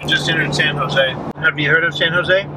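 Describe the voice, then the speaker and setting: A man's voice: a little high, steady tone, brisk, very noisy. An American man chatting casually with his family inside a moving vehicle. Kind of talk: casual chat. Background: loud music, vehicle sound.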